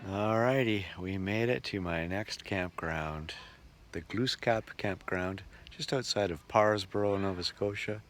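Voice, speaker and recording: Low-voiced man narrating a travel vlog. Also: measured pace, fairly bright tone, a little hiss or hum. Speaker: touring musician and vlogger.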